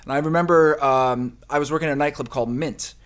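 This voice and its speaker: Playful New Jersey man, slightly high voice. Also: fairly even intonation, fast talker. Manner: playful, loose, with fillers. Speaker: podcast guest, restaurateur. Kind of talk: casual interview chat.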